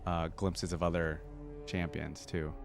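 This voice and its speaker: A man's voice: low voice, flat delivery, a little slow, gravelly. A man hosting a reaction video, commenting casually and thinking aloud with lots of questions as he watches. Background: music from the watched video.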